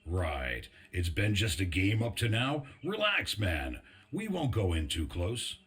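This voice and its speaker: A man's voice: low-pitched, sing-song, takes it slowly, fairly bright tone, breathy edge, heavily acted. An actor playing an urgent, earnest male resistance soldier in a video game cutscene, speaking in a tense, emphatic way. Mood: resolute.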